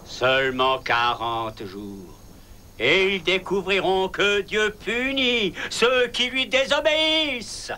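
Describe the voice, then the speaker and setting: An actor playing an indignant prophet who proclaims doom in a declamatory way, in a dubbed animated Bible cartoon. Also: male voice, high-pitched, takes it slowly, heavily acted. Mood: grim, menacing. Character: wrathful, indignant prophet preaching doom to a sinful city. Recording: loud music under the voice.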